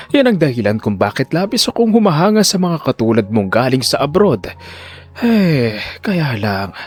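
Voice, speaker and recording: Man acting as older male hospital director, oily schemer, formal fake concern, animated pitch, audio drama, music underneath. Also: lightly acted. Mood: oily, welcoming. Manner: formal, fake-concerned, hesitant emphatic phrasing.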